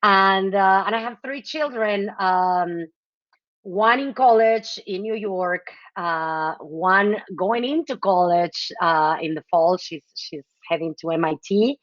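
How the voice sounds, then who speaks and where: A woman in her forties from Mexico, a guest chatting casually in an interview, warm and talkative with many fillers and frequent laughs. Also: mid-range voice, slow, no room sound, muffled recording. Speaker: guest, nonprofit executive director.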